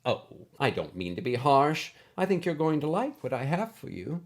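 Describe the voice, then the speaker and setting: A man's voice: a little high, very animated, moderate pace, pitched above the performer's own voice. A man voicing a kindly but exacting older woman, a former schoolteacher, speaking formally and precisely in a story. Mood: warm, reassuring. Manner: formal, precise, gently scolding.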